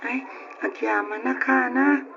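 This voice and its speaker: A woman's voice: a little high, fairly even intonation, unhurried, gravelly. A woman playing a poor, grateful child in an old Ilocano radio drama. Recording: dull, noisy lo-fi radio recording.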